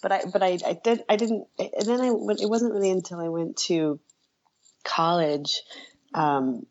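A woman's voice: on the low side, fairly even intonation, measured pace, highs cut off. An American woman being interviewed as a writer, talking casually and hesitantly, repeating words as she thinks. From Iowa, United States. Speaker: interview guest, writer and journalist.

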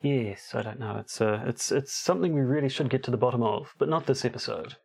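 A man's voice: medium pitch, quick pace, gravelly, a little mellow, slight background noise. Wry, hesitant male podcast co-host. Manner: hesitant, many fillers and restarts, wry. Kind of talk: casual chat.

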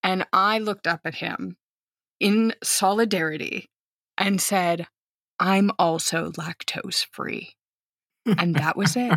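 A woman's voice: lowish voice, slow, no room sound, studio quality. An American woman in her thirties, an outgoing teacher telling a story in casual conversation and acting out the voices of the people in it. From United States. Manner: animated, outgoing storyteller who acts out quoted lines. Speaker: guest, teacher.

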